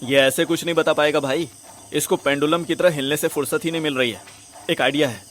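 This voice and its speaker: A man's voice: on the high side, fairly even intonation, a little fast, fairly bright tone, slight background noise, natural voice. A man playing a brash, swaggering, foul-mouthed young sleuth in an audio drama, talking in bossy slang. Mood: scheming. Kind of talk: acted audio drama dialogue.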